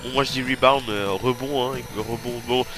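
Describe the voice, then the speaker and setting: Stuffy-nosed guy yelping and cursing through a racing game, loud game music behind. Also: mid-range voice, normal speed, rough recording. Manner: excitable, swearing, yelps and laughs while playing. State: stuffy from a cold. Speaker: let's play host. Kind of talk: casual gameplay commentary.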